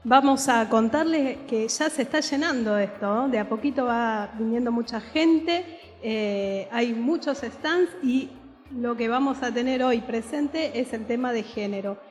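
A woman's voice: fairly high pitch, measured pace, big-room sound, faint music behind. A woman co-hosting a live community radio broadcast at an outdoor festival, friendly and announcing.

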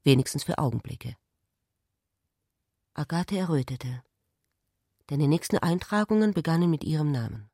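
A woman's voice: low-pitched, expressive, moderate pace, pauses often, warm tone, breathy edge, no echo, studio quality. A woman narrating literary prose for a radio drama.

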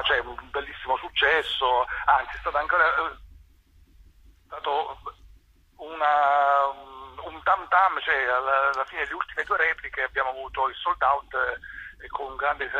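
A man answering as a theatre director in an informal radio interview, haltingly, often repeating words as he searches for the next one. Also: on the high side, sharp tone, breathy edge. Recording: dull, band-limited radio or phone line.

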